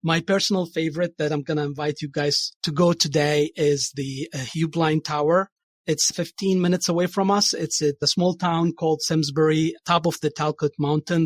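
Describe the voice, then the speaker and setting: A man's voice: on the high side, dry recording. A man from Saudi Arabia, a chief cardiology fellow, talking eagerly as a podcast guest. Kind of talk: podcast interview, introducing himself and a place.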